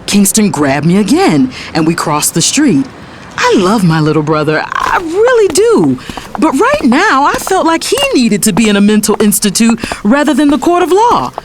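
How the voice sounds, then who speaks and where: An actress playing a fiery, exasperated lawyer and big sister, speaking emphatically in a dramatic audio story. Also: female voice, fairly high pitch, sing-song, normal speed, noisy background. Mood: narrating, annoyed. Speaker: audiobook narrator. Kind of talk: dramatic first-person story narration.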